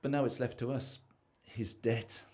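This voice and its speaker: A man's voice: medium pitch, steady tone, unhurried, a little mellow, lightly acted. Young man acting as a stressed, secretive British husband. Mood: gloomy confession. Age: young adult. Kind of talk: amateur radio play acting.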